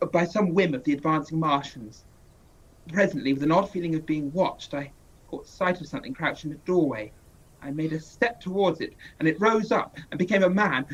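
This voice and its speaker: A weary survivor wandering a ruined countryside, voiced by a man, slow and measured in narration and hesitant when he speaks to others. His voice is husky and a touch high for a man. The recording sounds muffled and lo-fi. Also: fairly bright tone. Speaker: narrator and main character.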